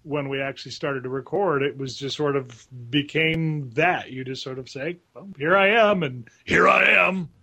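A man's voice: mid-range voice, normal speed, hardly any pauses, pushed and bright. A man, a voice actor guest, casually telling an anecdote on a panel.

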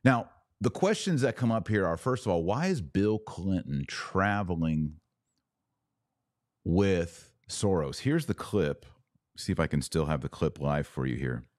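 Man, very low voice, podcast host, opinion commentary, emphatic, wry, rhetorical questions, dry recording. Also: animated, a little slow, darkish tone, no room sound. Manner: emphatic, repeats words and asks rhetorical questions.

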